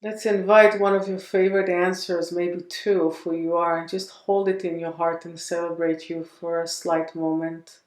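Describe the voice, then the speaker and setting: A woman leading a guided meditation in a gentle, unhurried manner, leaving long pauses between phrases. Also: lowish voice, level tone, reverberant.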